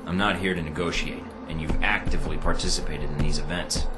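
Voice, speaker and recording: A young American secret agent, speaks in an extremely low voice. He is cool, understated and resolute, as in a spy game, with prominent music behind him. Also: fairly even intonation, brisk.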